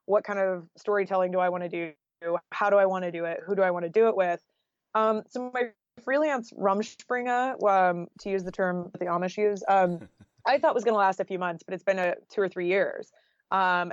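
A woman's voice: medium pitch, no echo, highs cut off. An American woman talking casually and candidly as an interview guest, with an informal style full of "like" and "you know". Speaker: guest, freelance journalist.

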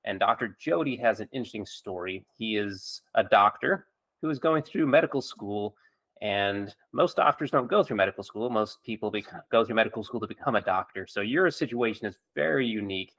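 Guy with a low voice, podcast host who's a doctor, chatting interview style. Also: lively intonation, a little fast, fairly bright tone.

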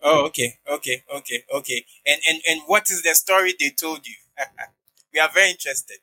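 A man's voice: on the high side, fairly quick, sharp tone, soft background music. A man hosting a podcast, introducing his guest and asking interview questions in a polite, encouraging manner.

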